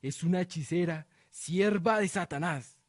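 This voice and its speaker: A man's voice: high voice, measured pace, no echo, studio quality. A man playing a zealous, solemn accuser who rouses a village against a witch in a radio drama. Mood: denouncing. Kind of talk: Spanish-language radio drama acting.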